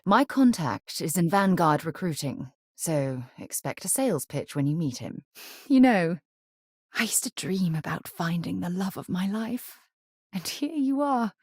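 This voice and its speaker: A woman voice-acting a composed, principled space explorer and former military leader in a video game, speaking in an earnest, articulate, measured way. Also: lowish voice, a little mellow, breathy edge, no echo, clean studio sound, exaggerated voice. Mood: tender, vulnerable.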